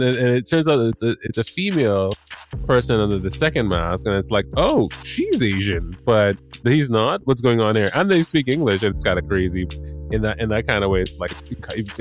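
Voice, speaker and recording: A man hosting a casual recap podcast with a stuffed-up nose, rambling, correcting himself and swearing casually. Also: mid-range voice. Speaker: podcast host.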